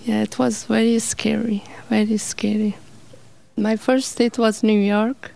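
A middle-aged woman, telling her life story in an interview in halting, simple English with fillers. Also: medium pitch, flat delivery, vocal fry, warm tone. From Afghanistan.

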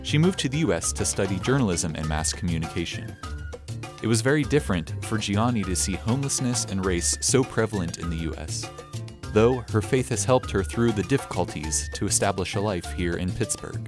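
A radio host who is a man, reading scripted introductions in a measured, even way. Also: fairly low pitch. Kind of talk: scripted narration. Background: music bed.

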